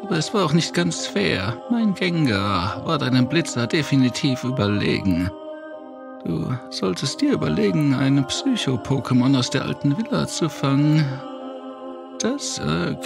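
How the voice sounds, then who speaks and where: Man acting a creaky, slow old trainer. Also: on the high side, big pitch swings, over music, exaggerated voice. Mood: condescending. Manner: slow, deliberate, condescending. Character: sinister old male Pokémon trainer, calmly condescending. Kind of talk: German horror audio drama acting.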